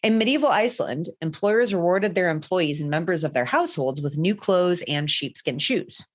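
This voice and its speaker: Low-voiced woman telling folklore casually. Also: lively intonation, normal speed. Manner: laid-back, swearing, laughing often. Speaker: podcast co-host. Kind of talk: casual storytelling chat.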